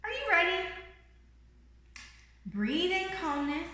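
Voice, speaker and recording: A very echoey room carries a woman playing a small storybook bird who guides children along. The voice is bright and very lively in pitch, bubbly and encouraging, with a reassuring manner. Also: slow, slight background noise, heavily acted. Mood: calm, encouraging. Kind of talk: children's picture book read-aloud. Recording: roomy, echoing room sound.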